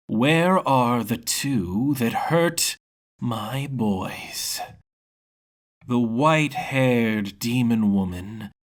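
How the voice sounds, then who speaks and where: A man playing a menacing male angel gang boss in a fantasy story, with deliberate, mock-polite threats. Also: on the low side, a bit bright, full character voice. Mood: angry, threatening.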